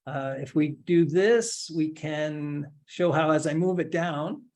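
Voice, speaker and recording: Male instructor in his forties, casual tutorial delivery, step-by-step with light humor, slightly high voice. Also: takes it slowly, a little mellow. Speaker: instructor giving a software demo. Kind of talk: screen-share tutorial, casual explanation.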